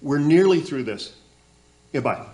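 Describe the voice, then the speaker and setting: A flustered young nephew played by a man struggles to co-host a comedy radio play. His voice sits fairly high and leaps about in pitch. He stammers with long-suffering exasperation. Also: lively intonation, measured pace, mellow. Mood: weary, exasperated. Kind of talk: community theater radio comedy acting.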